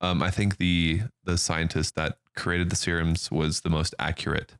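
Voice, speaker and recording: A man's voice: sits low, little pitch movement, moderate pace, fairly bright tone, smooth, no echo, clean studio sound. A man from Germany, a native German speaker, chatting casually in English with blunt, slangy opinions and some swearing.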